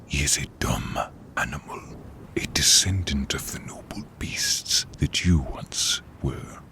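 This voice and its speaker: A man playing a menacing, bitter dark sorcerer king risen from peasantry, with a gravelly half-whispered rasp and grandiose, taunting delivery in a fantasy audio drama. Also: a bass voice, exaggerated voice. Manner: slow, theatrical, taunting delivery. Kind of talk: fantasy audio roleplay acting.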